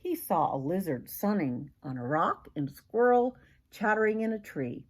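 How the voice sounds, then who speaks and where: A woman's voice: fairly low pitch, big pitch swings, moderate pace. An older woman reading a children's picture book aloud expressively, as a grandmother would, with questions to the young listener.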